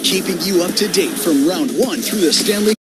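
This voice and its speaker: A man's voice: high-pitched, normal speed. A man delivering a scripted radio commercial as an advertising announcer. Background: loud music.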